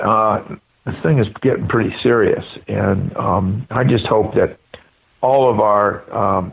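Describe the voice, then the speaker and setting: Gravelly older American man on the phone. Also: lowish voice. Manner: halting, earnest. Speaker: event spokesperson, interview guest. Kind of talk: live phone interview on a radio show. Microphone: telephone. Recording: tinny narrow phone line.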